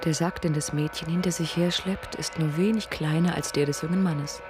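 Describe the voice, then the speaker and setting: A woman's voice: low-pitched, fairly even intonation, normal speed, background noise. A woman narrating a literary radio play in a storytelling style. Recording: music under the voice.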